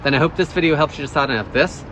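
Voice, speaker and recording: A man's voice: fairly high pitch, rapid-fire, noisy background. A man giving a casual product review, explaining features plainly, step by step.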